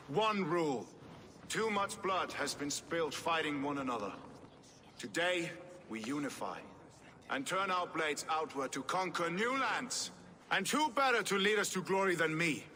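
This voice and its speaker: An actor playing a proud, ambitious Norse warrior king in a video game, speaking in formal, measured oratory. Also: a little high, sharp tone. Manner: grandiose, measured, commanding speech. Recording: reverberant hall with faint background noise.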